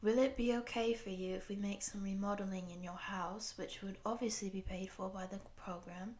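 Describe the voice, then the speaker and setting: A woman playing a polite, earnest program interviewer in a scripted roleplay, speaking softly and breathily in a measured, formal, official manner. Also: mid-range voice, fairly even intonation, close to an everyday voice. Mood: polite, explanatory. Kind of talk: soft-spoken scripted roleplay audio.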